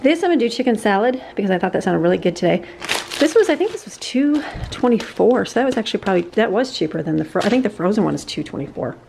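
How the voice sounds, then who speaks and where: Quick rambly woman vlogger, laughs a lot, noisy porch mic. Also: medium pitch, very animated, vocal fry. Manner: chatty, laughs often, rambling asides. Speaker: family vlogger. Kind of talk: casual grocery haul vlog. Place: outdoors on a porch, earlier indoors at a kitchen counter.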